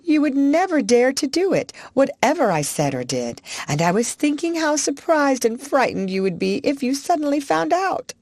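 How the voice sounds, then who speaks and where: A woman's voice: a little high, no room sound, voice pushed up, exaggerated voice. Woman playing a politely defiant young schoolgirl.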